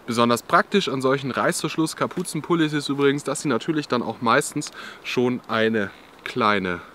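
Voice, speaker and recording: A man's voice: mid-range voice, normal speed, slight background noise. A young man explaining outdoor clothing layers to the camera in a drizzly forest, in a casual, explanatory way. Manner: explanatory, lively, casual with filler "ja". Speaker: outdoor channel host.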